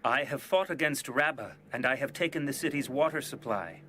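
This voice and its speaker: An actor playing a commanding army general in a dramatized audio Bible. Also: male voice, mid-range voice, expressive, brisk, pushed and bright, slight background noise. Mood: urgent, commanding. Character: commanding male army general sending word from battle.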